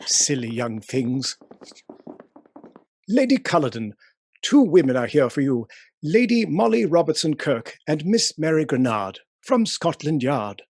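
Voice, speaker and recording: A man's voice: a little high, very animated, unhurried, darkish tone, dry recording, studio quality, heavily acted. A man playing a formal, deferential servant of an Edwardian household in a radio play.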